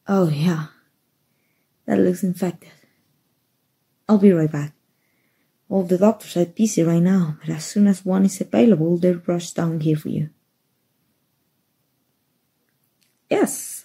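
A woman acting a kind woman rescuer in an ASMR roleplay. Her voice is very breathy and husky, dark and soft, with some gravel in it. She speaks gently and earnestly, reassuring the listener. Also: fairly low pitch, heavily acted. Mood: gentle, helpful. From Mexico.

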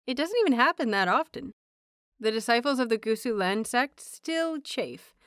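A woman hosting and narrating audio fiction in an expressive audiobook style. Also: medium pitch, smooth, no room sound, studio quality. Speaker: host and narrator of an audio fanfiction show.

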